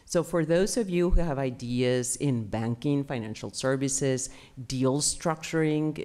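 A middle-aged woman from Mexico with a Mexican Spanish accent, a native Spanish speaker, speaking animatedly at a panel table mic in a conference room. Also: deep voice. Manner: animated, gestures while talking, fillers. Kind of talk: panel talk about her career. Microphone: table mic on stand.

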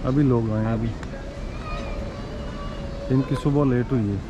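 Middle-pitched man, forties, travel vlogger, casual walking commentary, English words mixed in, noticeable city street noise. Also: steady tone, a little slow, highs cut off. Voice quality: Urdu-Hindi speech mixed with English words. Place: outdoors on city streets and squares. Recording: outdoor street noise and ambience.

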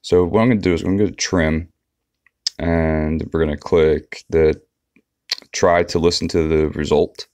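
A man's voice: rumbling low, fairly even intonation, measured pace, darkish tone, no echo. A man giving a casual, unscripted walkthrough of an app as a tutorial presenter.

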